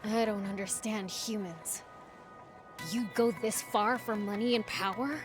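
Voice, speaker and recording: A woman's voice: medium pitch, fairly even intonation, measured pace, music in the background, natural voice. A woman playing a brash young demon prince in a video game, with a blunt, casual, boyish way of talking. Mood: baffled disdain. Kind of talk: video game character acting.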